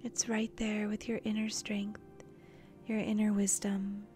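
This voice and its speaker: Slow, soft woman guiding a meditation. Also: mid-range voice, completely flat delivery, faint music behind. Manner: gentle, soothing, short phrases with long pauses. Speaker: meditation guide, channel host. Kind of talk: guided meditation.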